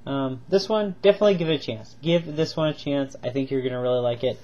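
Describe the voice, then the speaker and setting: A man's voice: mid-range voice, quick pace, warm tone, dull audio. A man with a cold reviewing toy figures in a casual home video, rambling and enthusiastic with lots of ums and repeats. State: stuffed up with a cold, coughing.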